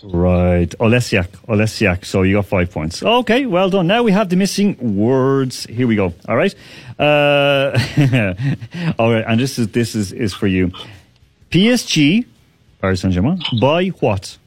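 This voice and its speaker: A man hosting a radio quiz, teasing contestants, laughing often and repeating questions slowly. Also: medium pitch, big pitch swings, a little hiss or hum. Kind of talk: lively radio quiz banter.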